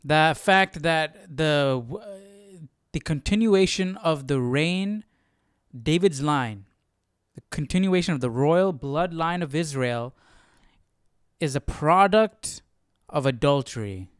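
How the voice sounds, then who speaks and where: A man's voice: a little high, lively intonation, slow pace, clean tone, no room sound, studio quality. A man hosting a livestreamed study session, giving casual, reflective commentary and questioning things as he thinks aloud. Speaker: Bible study livestream host.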